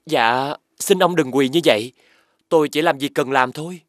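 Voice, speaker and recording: Humble young woodcutter played by a man, higher-pitched voice. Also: moderate pace, no room sound, clean studio sound, natural voice. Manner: modest, polite, hesitant. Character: humble, kind poor young woodcutter, folk-tale hero. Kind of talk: animated folk-tale dubbing.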